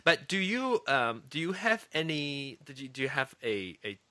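Casting note: Malaysian-accented male podcast host, lively pitch, bright tone, giggly and campy. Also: moderate pace, no echo. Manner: chatty, giggly, campy asides. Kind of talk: casual podcast chat.

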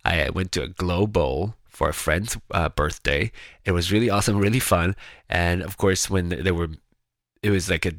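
A man chatting casually in a rambling personal monologue, sounding nasal and congested, with a slightly raspy, gravelly voice. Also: sits low, normal speed, a bit bright, dry recording, clean studio sound. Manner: rambling, chatty, with frequent restarts. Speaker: vlogger.

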